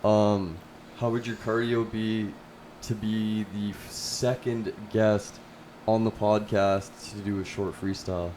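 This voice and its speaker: A man's voice: lowish voice, little pitch movement, slow, a little mellow, slight background noise, poor audio. Easygoing young man hosting. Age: 20s. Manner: easygoing, encouraging, chatty. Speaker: podcast host. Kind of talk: casual interview.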